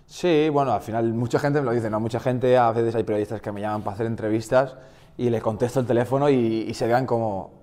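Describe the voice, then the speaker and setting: A man's voice: medium pitch, quick pace, long reverb tail. A man in his twenties, raised in the Basque Country, talking casually as an interview guest with many restarts, speaking Spanish with a slight Basque accent. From Portugal, raised in the Basque Country. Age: 20s. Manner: relaxed, frequent restarts and fillers. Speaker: guest footballer.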